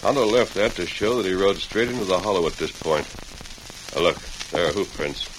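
Deep-voiced heroic cowboy lawman, hissy old radio. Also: expressive. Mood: grave, resolute. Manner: deliberate, authoritative, soothes his horse. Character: heroic masked cowboy lawman, steady and commanding. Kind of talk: 1940s radio western acting. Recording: noisy, hissing vintage radio recording.

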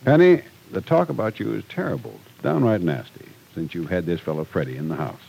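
A man's voice: deep voice, expressive, fairly quick, vocal fry, dark tone, slightly rough, full character voice, low-quality recording. An actor playing a worried, fatherly, middle-aged small-town man in an old-time radio drama, folksy and admonishing. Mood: grave warning.

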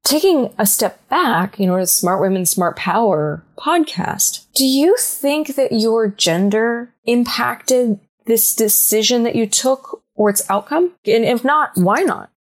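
A woman hosting a podcast, asking measured, probing questions of her guest. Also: on the high side, lively intonation. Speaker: podcast host. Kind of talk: podcast interview.

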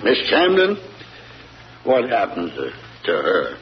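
An actor playing a grieving old man, speaking in a slow, halting, folksy drawl. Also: fairly low pitch, wildly swooping pitch, heavily acted. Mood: sorrowful. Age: older. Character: grieving old rural hound-dog man. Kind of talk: 1940s radio acting. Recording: dull 1940s radio broadcast, faint hiss.

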